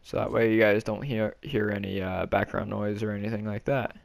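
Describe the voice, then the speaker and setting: Casual male gamer with a creaky voice. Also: fairly even intonation, dry recording. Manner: hesitant, halting, low-key. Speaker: gaming video creator. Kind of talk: casual game walkthrough commentary.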